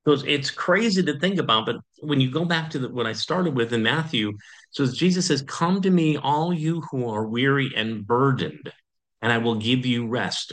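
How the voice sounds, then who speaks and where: Man preaching in a relaxed, conversational way. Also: medium pitch, low-quality recording. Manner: conversational preaching with frequent fillers and restarts. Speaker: pastor. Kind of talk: sermon.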